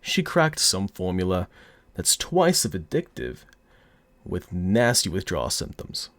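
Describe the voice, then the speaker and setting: A man's voice: sits low, big pitch swings, measured pace, a bit bright, no room sound, full character voice. A man playing a cocky, flirty, menacing mafia boss in an audio roleplay, with a put-on mobster accent and a smug, teasing drawl. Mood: smug, businesslike.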